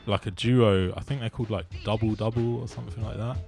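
A man in casual conversation about music, talking in a relaxed, hesitant way with lots of ums. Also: fairly low pitch, quick pace, vocal fry. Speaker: musician guest. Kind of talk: casual chat.

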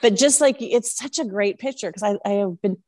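A woman's voice: medium pitch, brisk, a little mellow, no echo. Woman, podcast host; upbeat, filler-heavy chatter, dry close recording. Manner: chatty, enthusiastic, many fillers. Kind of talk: casual interview chat.

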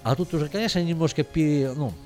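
A man's voice: fairly high pitch, warm tone. A man co-hosting a casual radio chat show, telling stories in a chatty way. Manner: fast, chatty storyteller. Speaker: radio co-host. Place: radio studio. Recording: faint music under the talk.

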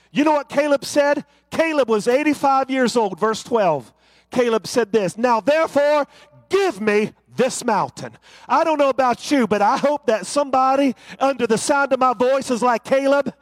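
A man's voice: extremely high pitch, dry recording. A man preaching a sermon, building to fervent, rhythmic refrains.

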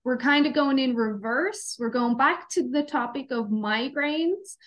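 A woman's voice: high-pitched, unhurried. A woman with an Irish accent, hosting an interview podcast and introducing her guest.

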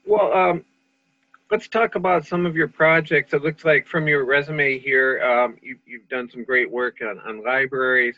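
A man interviewing as a reporter, halting and often repeating his words. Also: medium pitch, no room sound. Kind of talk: interview questions. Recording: dry, dull sound.

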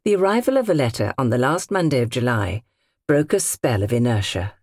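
British woman narrating, expressive, close dry sound. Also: rumbling low, no room sound. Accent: British English. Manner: measured, carefully phrased storytelling. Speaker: audiobook narrator. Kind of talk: scripted literary narration in character.

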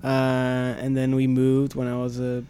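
A man's voice: mid-range voice, monotone, unhurried, dark tone, slight background noise. A man in his twenties who grew up in Sweden, answering interview questions as a camp staff guest, hesitant with many fillers. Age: 20s. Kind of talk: casual interview.

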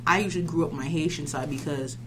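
A young woman with a fairly low voice hosts a casual, animated chat. She speaks emphatically and informally, like someone telling stories to friends. A clear hum and noise sit behind her. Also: quick pace. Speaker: podcast host. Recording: noisy recording with clear hum.

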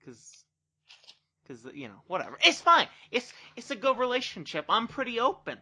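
A young man in casual banter, joking and swearing, with many fillers when he slows down. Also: very high-pitched, huge pitch swings, bright, forceful tone, husky. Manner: joking, swears often, mumbles with fillers when he turns earnest.

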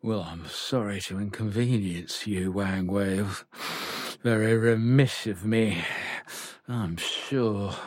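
A man's voice: on the low side, slow, darkish tone, dry recording, clean studio sound. An actor playing a confused older man in his sickbed who cracks wry puns and drifts in and out. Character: confused old man with a cheeky wit. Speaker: elderly patient. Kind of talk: audio drama acting.

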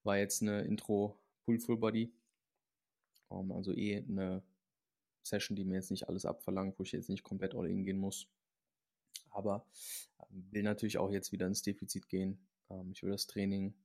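Male athlete guest, lowish flat voice, relaxed and rambling. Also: moderate pace. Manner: rambling, relaxed, frequent fillers. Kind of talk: casual podcast chat.

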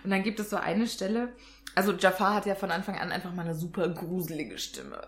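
A woman's voice: fairly low pitch, very animated, fairly quick, a little hiss or hum. A woman in her twenties co-hosting a podcast in casual chat, enthusiastic and laughing often. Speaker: podcast co-host.

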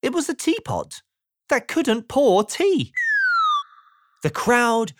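Lively, unhurried man reading a children's story. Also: very high-pitched, noisy background. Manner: playful, asks the listener rhetorical questions. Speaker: audiobook narrator. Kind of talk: scripted children's storybook narration.

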